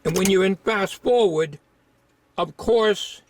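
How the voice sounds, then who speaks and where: Slow-talking man with a high-pitched voice. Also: a little hiss or hum. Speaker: hobbyist repair demonstrator. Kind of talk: informal hands-on demonstration, unscripted.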